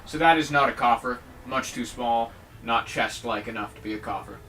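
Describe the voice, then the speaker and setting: A man's voice: medium pitch, moderate pace, a bit bright, far from the mic. A man giving jokey, rambling let's-play commentary on a game, with mock-dramatic outbursts. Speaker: gaming video host.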